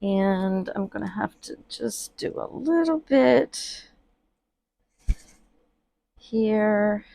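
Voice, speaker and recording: Woman chatting slow while she crafts, lots of ums, sniffly w allergies, dry close sound. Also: mid-range voice, expressive, gravelly, no room sound. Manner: chatty, many fillers, quiet and murmured while working. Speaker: reborn doll artist. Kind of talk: casual crafting tutorial vlog. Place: indoors at a worktable.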